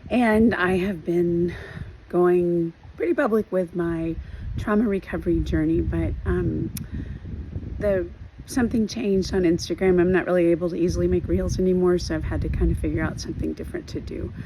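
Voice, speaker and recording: Woman vlogger, voice hoarse and worn, halting and earnest, lowish pitch, audible background noise. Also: fairly even intonation. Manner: earnest, halting, with many fillers and restarts. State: hoarse from losing her voice. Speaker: trauma recovery vlogger. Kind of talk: personal video update.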